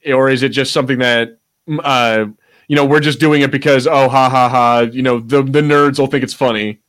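A man's voice: mid-range voice, steady tone, normal speed, a bit bright. A man co-hosting a podcast, chatting casually about pop culture in a rambling way, swearing freely. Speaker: podcast co-host.

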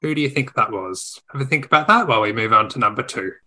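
A man's voice: medium pitch, animated, fast talker, sharp tone. A man co-hosting a podcast, presenting a teasing game segment. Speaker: podcast co-host. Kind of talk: playful show hosting.

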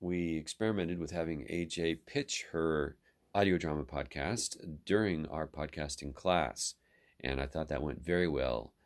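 Very deep, gravelly man hosting an interview. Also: measured pace. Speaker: podcast host and instructor. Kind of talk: conversational interview.

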